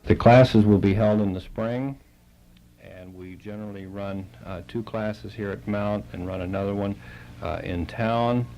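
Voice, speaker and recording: Low, gravelly man in a radio interview. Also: steady tone, unhurried, dark tone, lo-fi sound, a little hiss or hum. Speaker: interview guest, Red Cross chapter director. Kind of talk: radio interview, explaining programs.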